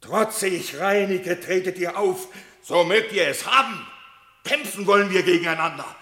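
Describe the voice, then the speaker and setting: A man's voice: high-pitched, normal speed, bright, forceful tone. An actor playing an embittered wolf who accuses and challenges his rival in indignant, gnashing radio drama declamation. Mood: grimly challenging. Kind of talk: classic German radio drama verse acting. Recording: echoey hall with long reverb.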